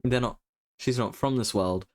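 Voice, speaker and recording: A man giving casual live stream commentary on a game, halting as he thinks out loud. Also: mid-range voice. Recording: faint game music behind.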